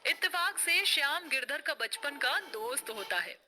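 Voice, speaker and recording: A woman's voice: high-pitched, expressive, normal speed. A woman narrating a scripted, melodramatic story as the narrator. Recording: music bed under the voice.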